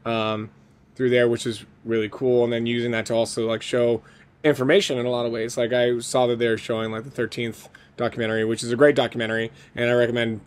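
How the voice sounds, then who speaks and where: A man's voice: mid-range voice, level tone, quick pace. A man co-hosting a podcast in casual chat, with an enthusiastic, rambling way of talking. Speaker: podcast co-host.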